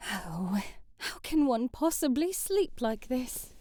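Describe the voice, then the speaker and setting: A woman's voice: sits high, big pitch swings, slow pace, warm tone, no room sound, full character voice. A woman playing a sheltered, romantic young princess in an ASMR audio drama, with flowery, theatrical storybook phrasing. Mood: wistful, lonely. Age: young adult. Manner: theatrical, flowery, prim storybook phrasing, sighing, often half-whispering.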